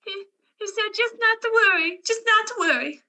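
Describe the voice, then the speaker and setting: A woman's voice: very high-pitched, normal speed, bright, forceful tone, full character voice. A woman playing a brazen, fragile young liar in a radio crime drama. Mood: distraught. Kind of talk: old-time radio crime drama acting.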